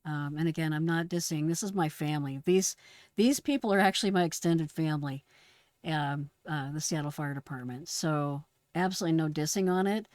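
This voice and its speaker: Woman, lower register, dry close sound, conversational explainer walking listeners through procedures. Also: brisk, darkish tone, no echo. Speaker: retired dispatcher presenting radio traffic. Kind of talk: casual explanatory commentary.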